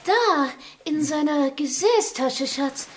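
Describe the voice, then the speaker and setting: An actress playing a detective's affectionate, easily shaken wife in a radio crime drama. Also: female voice, sits high, lively intonation, takes it slowly, a little hiss or hum. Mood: tense, composed.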